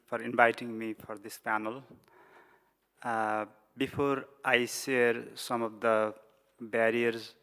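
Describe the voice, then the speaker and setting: A man from Nepal speaking English with a Nepali accent as a panelist, halting, with many restarts and fillers. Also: medium pitch, pushed and bright. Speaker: panelist, migrant worker advocate. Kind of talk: panel discussion. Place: large conference hall. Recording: reverberant conference hall.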